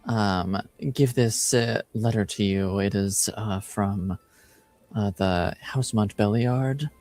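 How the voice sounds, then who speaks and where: A man voicing a deferential messenger sister in a tabletop fantasy game, with a halting, respectful manner. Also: fairly low pitch, darkish tone, no echo, faint music behind. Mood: deferential, hesitant. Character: deferential chantry sister delivering a message. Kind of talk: tabletop roleplay in character.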